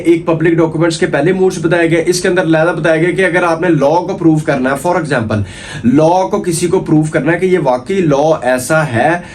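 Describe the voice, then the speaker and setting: A Pakistani man delivers an informal lecture in a slightly high male voice. He explains step by step, repeating key points and often checking that the listener follows. There is faint ambient noise in the recording. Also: darkish tone. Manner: didactic, repetitive, checks in with "okay". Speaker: law lecturer. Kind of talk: informal explanatory lecture mixing Urdu and English.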